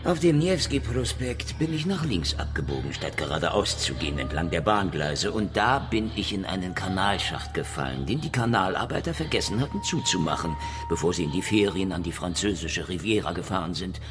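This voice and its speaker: A world-weary hard-boiled detective with a deep voice and animated, swooping delivery. He is cocky, sarcastic and theatrical, in radio drama style with music clearly behind him. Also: brisk, few pauses. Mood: cocky, threatening. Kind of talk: noir radio drama acting and narration.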